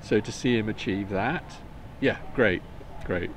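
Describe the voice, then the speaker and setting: An older man, the parent of an athlete, chatting warmly in an outdoor interview at a marina. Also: on the low side, measured pace, background noise. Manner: warm, chatty, laughs between answers. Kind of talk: casual interview.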